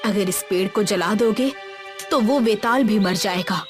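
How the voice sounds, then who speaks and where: A solemn old village grandmother, played by a woman in a horror audio story. She is maternal and fearful, speaking at a medium pitch over faint music. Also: a little slow, natural voice. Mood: solemn recollection.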